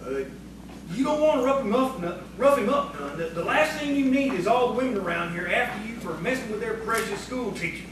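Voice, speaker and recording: A rustic prankster sidekick acted by a man in audio theatre, with a high voice and folksy country phrasing. He eggs on his boss. The sound is heavily reverberant, noisy and far from the mic. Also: lively intonation, brisk. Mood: conspiring. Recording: echoey, distant, noisy stage recording.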